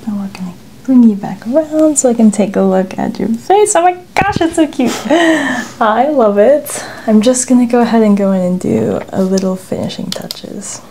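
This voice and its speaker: Soft-spoken young woman with creaky fry. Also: fairly high pitch, animated, moderate pace, a little hiss or hum. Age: young adult. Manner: soft-spoken, gentle, often near whisper. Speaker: ASMR hair stylist host. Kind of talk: ASMR role-play narration, casual chat. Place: small indoor room. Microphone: clip-on lapel mic.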